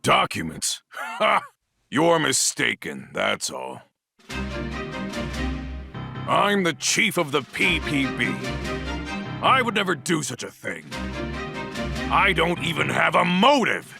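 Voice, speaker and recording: An actor playing a corrupt, indignant police chief in a video game, blustering and dismissive. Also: male voice, a little high, huge pitch swings, slow, vocal fry, long pauses, music in the background, full character voice. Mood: cornered, blustering. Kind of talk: dramatic video game cutscene acting.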